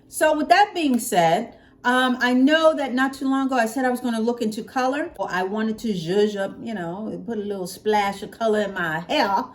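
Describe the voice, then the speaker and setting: A middle-aged American woman chatting casually to her viewers in a folksy, expressive way, repeating phrases for emphasis, recorded in a living room at home. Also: a little high, normal speed, hardly any pauses. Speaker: hair care vlogger.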